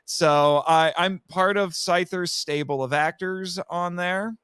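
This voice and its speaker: A man's voice: high-pitched, takes it slowly, a bit bright, smooth, no room sound. A man chatting casually as a podcast guest, telling things in a rambling, theatrical way.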